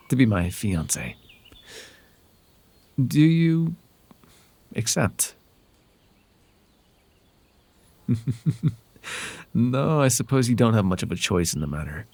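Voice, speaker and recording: A man voicing a possessive fae royal in an ASMR roleplay, courtly and coaxing with soft menace under a silky, creaky voice. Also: mid-range voice, dry recording, full character voice. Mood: playful, possessive. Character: possessive, silky male fae royal wooing a captive lover. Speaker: voice actor.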